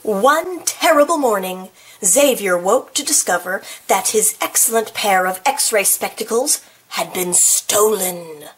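A woman reading a children's picture book aloud in an expressive, playful way, with casual, chatty asides. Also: mid-range voice, unhurried, breathy edge, low-quality recording. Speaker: storybook reader.

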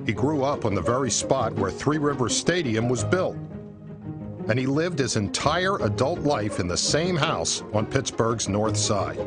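A man's voice: medium pitch, expressive, unhurried. A man with an American accent reading scripted documentary narration. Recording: music behind the voice. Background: background music.

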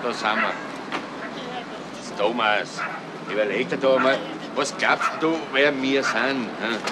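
A man's voice: medium pitch, measured pace, natural voice. An actor playing an insistent, exasperated man coaxing a child in a radio drama. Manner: insistent, persuading. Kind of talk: radio crime drama acting. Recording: outdoor street scene, very noisy old recording.

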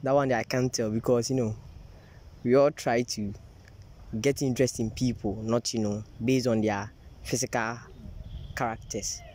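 A young male student from Ghana answers a street interviewer outdoors on campus in a mid-pitched everyday voice. He talks easily but hesitates, leaning on "you know" while he gathers his thoughts. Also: steady tone, moderate pace, pauses often, darkish tone, slight background noise. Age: young adult. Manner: easygoing, hesitant, many "you know" fillers. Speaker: first-year student interviewee.

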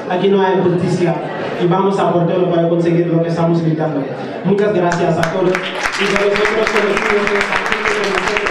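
Earnest man speaking out in an echoey room. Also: high-pitched. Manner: earnest, rousing, slow emphatic phrasing. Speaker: actor speaking at an open mic. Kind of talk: impassioned speech to an audience. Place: small indoor performance space with brick wall. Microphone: handheld mic, far camera. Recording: echoey room, far from mic, audience.

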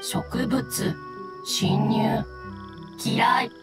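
A woman voicing a small, earnest mushroom creature in a game, speaking in halting single words. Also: on the high side, fairly even intonation. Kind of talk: scripted game creature dialogue. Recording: music under the voice.

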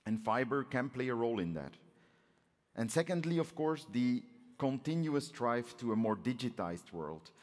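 A man in his forties giving a measured, explanatory keynote speech at a conference, as the president of an organisation. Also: medium pitch, sing-song, darkish tone. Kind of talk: prepared conference speech with slides. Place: on stage in a large conference auditorium. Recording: reverberant large hall sound.

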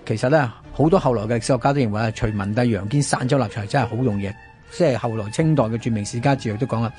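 A man's voice: medium pitch, fast talker, a little mellow, soft background music. A man with a Hong Kong Cantonese accent hosting a radio talk, explaining history in a colloquial, conversational way. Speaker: radio host.

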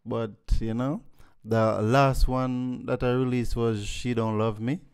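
Laid-back Jamaican man with smooth voice. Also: medium pitch, little pitch movement, slow, darkish tone. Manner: laid-back, chatty, peppered with "you know" and "you understand". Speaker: guest musician. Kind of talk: casual interview. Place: living room with mirrored wall decor. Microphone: studio mic on boom arm.

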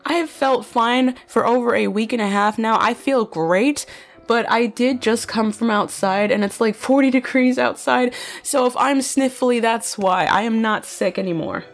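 A woman's voice: fairly high pitch, moderate pace, soft background music. A young woman chatting casually while answering fan questions, filling her talk with "like" and "bro", her voice slightly nasal. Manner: chatty, many fillers like "like" and "bro". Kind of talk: casual chat.

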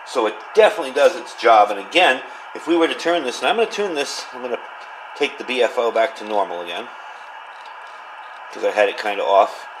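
A man's voice: medium pitch, lively intonation, fairly quick. Man's casual review over background noise. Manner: informal, unscripted explaining. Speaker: radio reviewer. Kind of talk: casual hands-on product review. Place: indoors at a workbench. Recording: clear background noise, room ambience.

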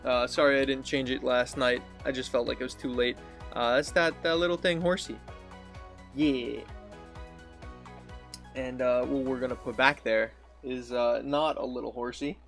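A young man with a deep, raspy morning voice, chatting casually as a game streamer into a close studio mic in a small home room, rambling and correcting himself as he goes. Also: steady tone, normal speed, fairly bright tone, faint music behind. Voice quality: deep and raspy after waking. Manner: rambling, self-correcting, friendly. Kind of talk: casual gaming vlog talk. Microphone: studio mic on boom with pop filter, headphones.

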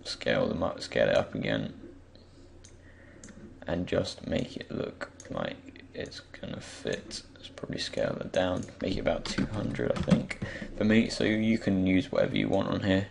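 A man with a croaky, just-woken voice gives an unscripted software tutorial, hesitantly thinking aloud as he goes. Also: sits low, big pitch swings, normal speed. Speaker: tutorial presenter. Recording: faint background noise, keyboard and mouse clicks.

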